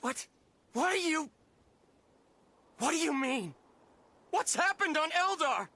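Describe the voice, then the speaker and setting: A fragile young male alien from a video game. His voice is very high and bright, swinging widely as he speaks with halting, earnest emotion. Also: very animated, exaggerated voice. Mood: shocked, pleading. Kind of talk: video game cutscene acting. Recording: game music behind the voice.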